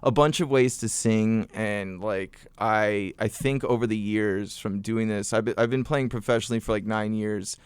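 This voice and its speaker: A man's voice: on the low side, normal speed, hardly any pauses, clear, resonant tone, no echo. A young adult man, a musician and vocal coach, chatting casually as a podcast guest with lots of fillers and restarts. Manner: relaxed storyteller, many fillers and restarts.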